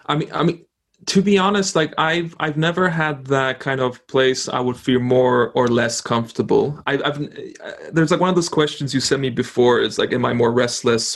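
A young man from Poland chats casually as a guest, often stopping and restarting his sentences. His voice has a gravelly, creaky edge. He sounds relaxed and thoughtful. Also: medium pitch, moderate pace. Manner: hesitant, frequent restarts. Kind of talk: casual conversation.

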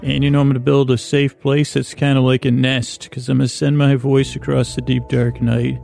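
Creaky-voiced man rambling a bedtime monologue. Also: mid-range voice, flat delivery, darkish tone, soft background music. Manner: rambling, halting, circling back on himself; lulling, soothing tones. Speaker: podcast host. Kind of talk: meandering bedtime monologue to help listeners sleep.

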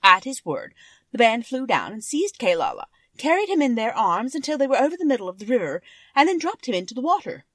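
A cheerful winged monkey king, played by a woman reading a storybook, recounts old tales expressively. The voice is fairly high and very lively in pitch, recorded close and dry. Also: a little fast, no echo, exaggerated voice. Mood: storytelling. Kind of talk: children's storybook character narration.

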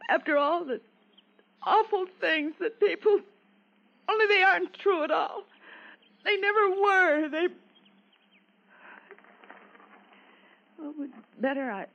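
A female actor playing a genteel, softly appealing newly widowed American wife in a mid-century radio melodrama, confiding and halting. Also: high-pitched. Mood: distressed. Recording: tinny, narrow-band old radio recording.

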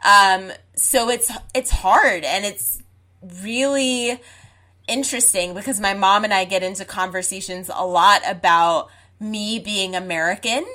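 A young woman from Zimbabwe talks in a casual chat at a mid pitch. She speaks frankly and with emphasis, leaning on "like" as she lines up her points. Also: unhurried, sharp tone. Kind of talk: casual conversation.

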